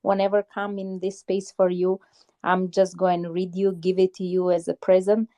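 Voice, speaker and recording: A woman's voice: fairly low pitch, monotone, normal speed, mellow. A woman hosting an online audio chat room, talking in an effusive, uplifting way about spirituality and intentions. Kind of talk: spiritual encouragement in casual chat. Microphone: phone or headset in an online audio room.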